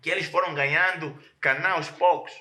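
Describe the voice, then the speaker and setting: A man's voice: fairly high pitch, fairly even intonation, normal speed, bright, forceful tone, echoey room. A male pastor preaching a sermon, emphatic and often repeating words as he searches for the next phrase.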